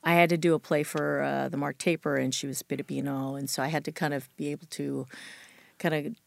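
A woman with a slightly low voice and a lot of fry in it, chatting as an interview guest. She is informal and effusive, telling stories in loose sentences that often trail off. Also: steady tone, a little fast, no echo. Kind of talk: casual chat, anecdotes.

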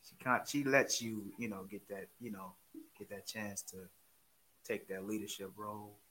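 A soft-spoken man with a smooth, lowish voice and a bright, forceful edge talks loosely and rambles, with "you know" all through his speech. A faint hiss sits under the line. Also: steady tone, moderate pace, low-quality recording. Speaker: guest. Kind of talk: casual group discussion.

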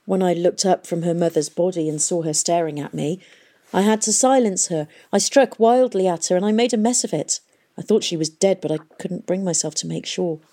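A woman's voice: lowish voice, brisk, dark tone. A woman reading the part of a guilt-ridden middle-aged father who confesses to murder, pleading and miserable. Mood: anguished.

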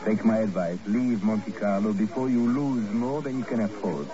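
An actor playing a world-weary, sober casino adviser in a 1950s radio drama. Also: male voice, on the low side, normal speed, close to an everyday voice. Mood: grave, warning. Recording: muffled vintage radio, crowd murmur.

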